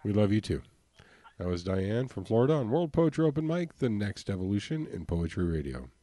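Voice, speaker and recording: A man hosting a poetry radio show, chatting in a jokey, animated way. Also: fairly low pitch, a little fast, heavy background noise. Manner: jokey, animated, talks to a co-host. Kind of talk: casual radio chat.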